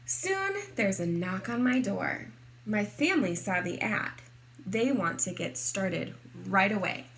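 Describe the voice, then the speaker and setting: A woman reading a picture book aloud expressively to kindergarteners, in a deliberate teacherly way. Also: medium pitch, expressive, a bit bright, a little hiss or hum. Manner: deliberate, clearly addressed to small children.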